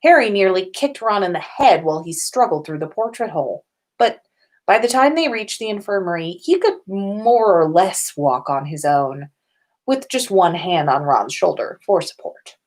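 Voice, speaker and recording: A woman's voice: fairly low pitch, lively intonation, normal speed, a little mellow. Woman reading a comic story aloud. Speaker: podcast host reading listener stories.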